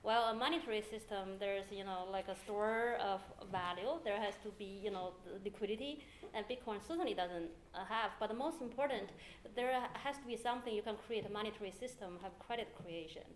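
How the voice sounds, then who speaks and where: Halting woman panelist in an echoey room. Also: medium pitch, a little hiss or hum. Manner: halting, heavy with "like" and "uh" fillers. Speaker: hedge fund manager on a panel. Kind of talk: unscripted panel discussion. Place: event hall with audience.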